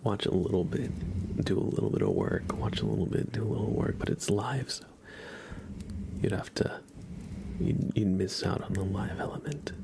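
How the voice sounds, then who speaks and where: A man hosting an ASMR livestream, chatting softly to viewers in an unhurried, soothing way with halting phrasing. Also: sits low, wildly swooping pitch, vocal fry, very noisy. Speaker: livestream host.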